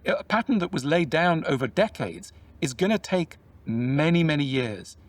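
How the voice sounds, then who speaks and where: A man talking in a measured, explanatory way about relationships, often posing questions to the listener. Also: fairly high pitch, lively intonation, no echo, distant mic. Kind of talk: reflective conversational talk.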